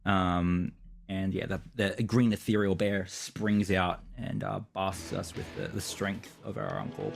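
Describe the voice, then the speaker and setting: A man with a fairly low, creaky voice plays a tabletop game. He describes his moves casually and haltingly, working them out aloud, while music plays clearly behind him. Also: lively intonation. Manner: hesitant, thinking aloud.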